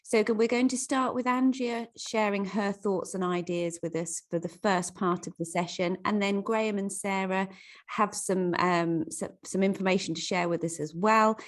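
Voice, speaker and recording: A woman's voice: mid-range voice, fairly even intonation, normal speed. Woman hosting an online meeting. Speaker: webinar host. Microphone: video call.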